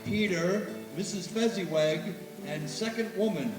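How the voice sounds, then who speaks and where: A man formally announcing cast and crew credits from a stage, listing names in a deliberate, measured way. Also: high voice. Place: theater hall at a stage performance. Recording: echoey hall from far off, loud music, crowd. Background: loud music and audience noise.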